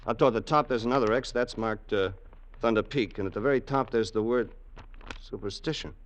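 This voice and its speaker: An actor playing a loyal, wary gangster's sidekick in an old radio crime drama, colloquial and hardboiled. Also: male voice, on the low side, a little fast, close to an everyday voice. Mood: hesitant, wary. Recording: noisy old radio recording.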